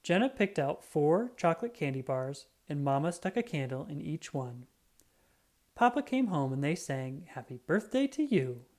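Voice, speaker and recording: A woman narrating a children's book in an expressive, scripted read-aloud style, acting out the dialogue. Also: low-pitched, normal speed.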